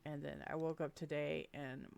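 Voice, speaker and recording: A woman's voice: sits low, steady tone, normal speed, vocal fry, darkish tone, a little hiss or hum. A woman chatting casually to viewers while playing a game, sounding congested and sniffly from a cold, giggling now and then. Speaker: gaming video creator. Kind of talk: casual let's-play commentary.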